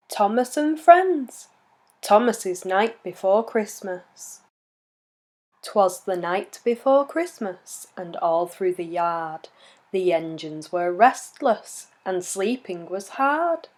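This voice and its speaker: A woman reading a rhyming children's picture book aloud in an expressive, measured storytelling manner. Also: mid-range voice. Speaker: children's story reader and channel host.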